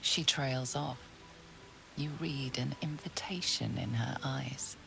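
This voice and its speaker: A solemn fantasy game storyteller, with a very low voice full of heavy creak. The narration is intimate, hushed and portentous. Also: female voice, takes it slowly, over music, exaggerated voice. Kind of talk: scripted second-person narration.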